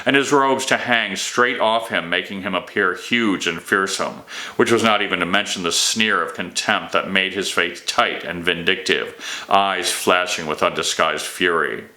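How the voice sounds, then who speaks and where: A man's voice: low voice, moderate pace, bright, forceful tone. A man, an author, reading his fantasy novel aloud as dramatic scripted narration.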